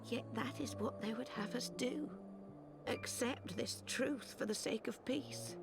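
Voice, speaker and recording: Grieving mother with a creaky voice. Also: on the high side, loud music behind the voice. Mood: bitter grief. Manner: sorrowful, slow, formal wording. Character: grieving, embittered mother of a fallen soldier. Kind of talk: video game voice acting.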